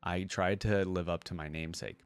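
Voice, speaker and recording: A man's voice: sits low, fairly even intonation, brisk, smooth, dry recording. A man voicing an earnest, brave young soldier, blinded and dying, in a storybook read-aloud.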